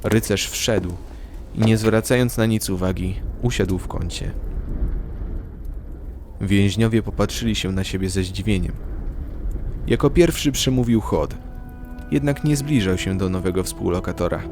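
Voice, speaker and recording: A man's voice: fairly low pitch, a little slow, pauses often, over music. A man delivering scripted, dramatic narration as the storyteller of a fantasy audio drama.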